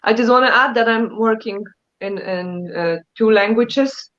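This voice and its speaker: A woman's voice: a little high, unhurried, a bit bright, muffled recording. A Polish woman, a therapist and guest, speaking earnestly in casual conversation. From Poland.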